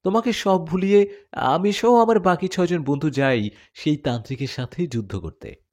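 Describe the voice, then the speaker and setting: A man's voice: on the high side, lively intonation, moderate pace, darkish tone, voice pushed up. A man voicing a devoted warrior jinn husband in an audio story, speaking in an earnest, dramatic, confessional way. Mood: grave, explanatory.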